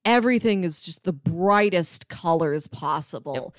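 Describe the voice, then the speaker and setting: A woman with a fairly low voice speaks in casual conversation, explaining things as a podcast co-host. The sound is dry and close, with very little room in it. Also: expressive, unhurried, no room sound. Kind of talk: casual film discussion and plot summary.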